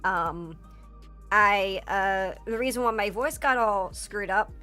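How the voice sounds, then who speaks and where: A hoarse woman streamer in a casual livestream chat, rambling with lots of fillers and swearing. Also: medium pitch, slow pace, sharp tone. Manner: rambling, filler-heavy and profane, breaks into laughter. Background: faint background music.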